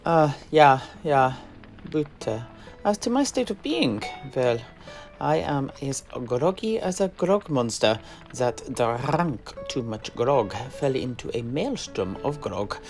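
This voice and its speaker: A man's voice: mid-range voice, very animated, slow, darkish tone, faint music behind. A man playing a groggy, gullible warrior in a fantasy audio drama, speaking in a hesitant, theatrical way.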